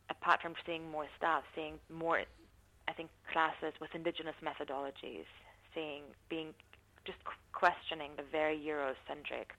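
A woman giving thoughtful, measured interview answers, often pausing to rephrase her points. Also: deep voice, bright, forceful tone, low-quality recording. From not from New Zealand. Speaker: interviewee, university researcher. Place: New Zealand.

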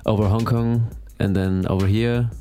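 A man's voice: fairly low pitch, steady tone, moderate pace, dark tone, soft background music. Hesitant German-accented man. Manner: hesitant, searching for words, good-humored. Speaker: musician guest. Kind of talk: casual interview.

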